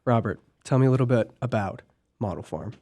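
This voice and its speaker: Young guy hosting a podcast, bit raspy from a cold, upbeat and chatty, mid pitch. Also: lively intonation, fairly quick, mellow. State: hoarse from a cold. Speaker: podcast host. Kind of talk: casual podcast chat. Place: campus podcast studio.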